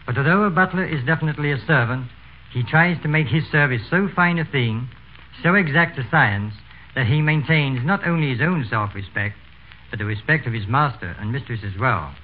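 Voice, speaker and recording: English male butler, formal and courteous scripted talk, mid-pitched, thin phone-like vintage radio sound. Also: lively intonation, fairly quick, a little hiss or hum. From England. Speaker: butler, guest speaker.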